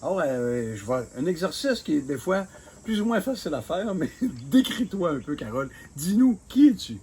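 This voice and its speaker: A man interviewing a candidate in a friendly, halting way, with frequent fillers. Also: on the high side, very animated, a little mellow, slight background noise. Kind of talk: casual interview questions.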